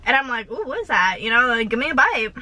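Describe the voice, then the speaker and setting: Young woman, giggly and chatty, bright tone, car interior, dashboard camera. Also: on the high side, very animated, quick pace. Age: young adult. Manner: bubbly, says "like" constantly, giggly. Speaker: vlogger. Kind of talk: casual chatty vlog with eating. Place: inside a parked car. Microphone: camera on dashboard facing her.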